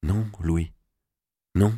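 A man reading an audiobook, voicing a pious, gentle young queen who speaks softly and with quiet firmness. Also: sits low, normal speed, slightly rough, no echo, studio quality. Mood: hushed, firm. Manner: soft, hushed, restrained.

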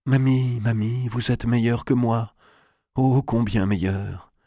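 A man reading an audiobook, voicing an anguished, hot-tempered young medieval king with a high voice that turns shrill in anger. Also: fairly even intonation, slow pace, dark tone, no room sound, studio quality. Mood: pleading, tender. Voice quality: high, shrill when angry. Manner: pleading, then bursting into rage.

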